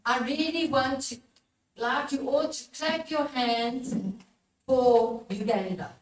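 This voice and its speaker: The voice is a woman's, set high, speaking as a workshop presenter who urges and questions her audience. She is picked up from a distance in an echoing room, with some background noise. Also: takes it slowly. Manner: exhortatory, puts questions to the listeners. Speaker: workshop presenter, religious formator. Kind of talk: live workshop teaching talk to an audience. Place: echoey room at a live workshop.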